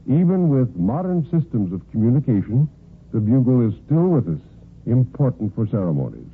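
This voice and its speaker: A man's voice: mid-range voice, sing-song, normal speed, a little hiss or hum. A man working as a radio announcer, reading scripted vintage narration in a formal, declamatory way. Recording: muffled, phone-thin old radio recording.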